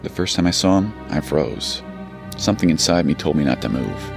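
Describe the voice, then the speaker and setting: An actor playing a haunted, hard-bitten army sergeant who survives a zombie apocalypse, in an audio drama. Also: male voice, very deep voice, expressive, brisk, vocal fry, exaggerated voice. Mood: grim, haunted. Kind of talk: audio drama narration and dialogue. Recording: loud music and car sounds behind.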